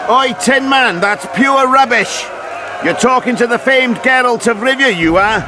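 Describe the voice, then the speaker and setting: A man playing a cheeky, indignant commoner in a video game, heckling in a brash, colloquial shout. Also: very high voice, a little slow. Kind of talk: video game cutscene acting. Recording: noisy crowd around the voice.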